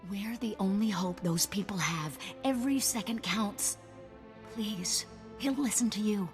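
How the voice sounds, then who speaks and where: A woman's voice: mid-range voice, a little slow, over music, close to an everyday voice. A woman playing an earnest, dutiful starship officer in a sci-fi video game, urgent and principled. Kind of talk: dramatic video game acting.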